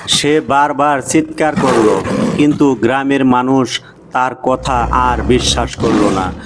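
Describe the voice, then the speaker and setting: Slow, measured male storyteller. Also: medium pitch, very noisy. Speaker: narrator. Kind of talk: scripted storybook narration. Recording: music behind the voice.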